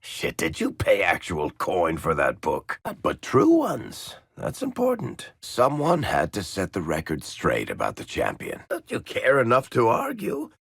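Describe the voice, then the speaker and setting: An actor playing a wry, roguish middle-aged storyteller in a video game, with a gravelly, husky American voice and a sardonic, teasing delivery. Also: male voice, deep voice, huge pitch swings, measured pace, hardly any pauses, dry recording, studio quality, heavily acted. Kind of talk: video game party banter.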